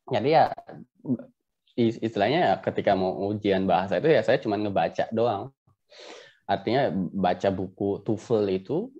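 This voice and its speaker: A man's voice: fairly low pitch, dark tone, dull audio. A man with an Indonesian accent chatting casually as an interview guest, self-deprecating and given to chuckling, telling personal stories.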